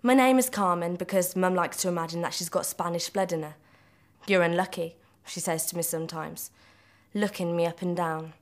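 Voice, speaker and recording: A woman's voice: on the low side, normal speed. A woman reading a first-person novel aloud in a storytelling style. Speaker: reader of a novel extract.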